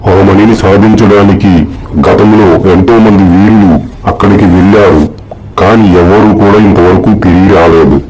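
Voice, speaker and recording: A man's voice: deep voice, fairly even intonation, normal speed, natural voice, poor audio. A man playing a solemn royal gatekeeper in a cartoon story, speaking formally and declaiming to warriors. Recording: very loud music behind the voice.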